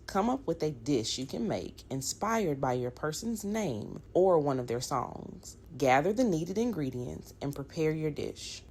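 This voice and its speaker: A woman's voice: low-pitched, darkish tone. A woman delivering scripted instructional narration as an activity instructor. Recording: clear background noise.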